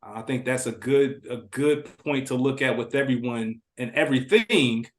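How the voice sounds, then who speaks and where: A man's voice: medium pitch, normal speed. Reflective man with a mid-range voice. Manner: conversational, slangy, reflective. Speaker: podcast guest. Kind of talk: casual reflective discussion.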